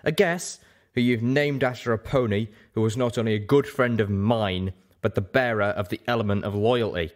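A man voicing a big, protective male dragon with a deliberate, pointed manner in a story reading. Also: fairly low pitch, natural voice. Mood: stern.

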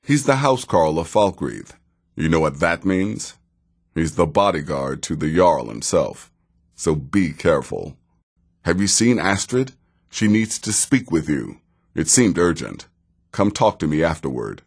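A man playing a wry, sardonic middle-aged assassin in a fantasy video game, speaking in a dry, measured, sarcastic way. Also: sits low, sing-song, exaggerated voice. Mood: measured, grave. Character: wry, sardonic veteran male assassin handing out contracts.

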